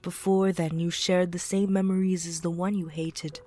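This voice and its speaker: A woman's voice: lowish voice, level tone, moderate pace, natural voice. A woman voicing a mysterious female spirit guide in a fan audio drama, speaking in solemn rhymes. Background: soft music.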